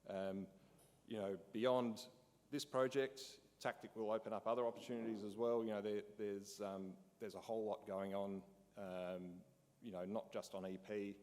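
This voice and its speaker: A business owner giving an informal talk at a briefing, halting and full of fillers. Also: male voice, on the low side, fairly even intonation, darkish tone. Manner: casual, halting, many fillers and restarts. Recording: long hall reverb.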